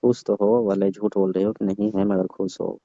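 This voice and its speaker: Man playing a sulky, low-voiced jealous young lover. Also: fairly even intonation, moderate pace, mellow, dry recording. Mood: sullen. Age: young adult. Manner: sullen, curt, insistent. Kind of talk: staged comic phone-call conversation. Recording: dull, lo-fi phone call recording.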